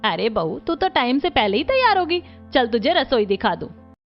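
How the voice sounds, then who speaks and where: A woman's voice: on the high side, very animated, normal speed. A woman voicing a doting, hospitable middle-aged mother-in-law in a cartoon. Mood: brisk, kindly. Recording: dull lo-fi track with music.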